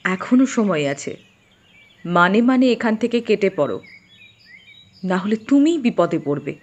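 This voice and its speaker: A woman's voice: medium pitch, very animated, a little slow, lo-fi sound. A woman voicing a bitter middle-aged aunt who urgently warns her nephew, in an audio drama. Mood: pleading. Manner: urgent, pleading warnings.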